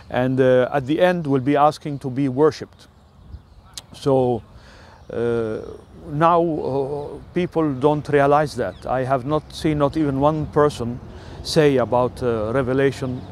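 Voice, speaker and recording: An older man with an Italian accent, an Italian native speaker, talking through a rambling personal monologue with many filler sounds and pauses. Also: medium pitch, darkish tone, a little hiss or hum.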